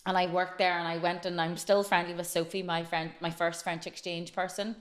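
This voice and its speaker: A woman's voice: lowish voice, little pitch movement, brisk, slight background noise. A woman in her late forties, a podcast guest telling her life story in a chatty, flowing way. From Northern Ireland. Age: late 40s. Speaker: interview guest. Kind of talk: casual podcast interview.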